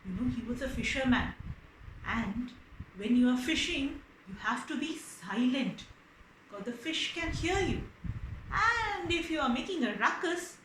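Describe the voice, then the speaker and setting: A woman telling stories for children, explaining as she goes, expressive and repeating words for emphasis. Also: sits high, a little slow. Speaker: storyteller. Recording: roomy echo with faint background noise.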